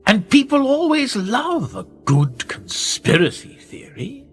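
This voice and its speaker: A powerful, manipulative gentleman of rank played by a male actor in a radio drama. His phrasing is measured and condescending, rising and falling expressively with a quiet threat beneath it. Faint music sits behind the voice. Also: very high-pitched, wildly swooping pitch, heavily acted. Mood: smugly knowing. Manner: measured, condescending, with rhetorical tag questions. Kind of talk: radio play dialogue.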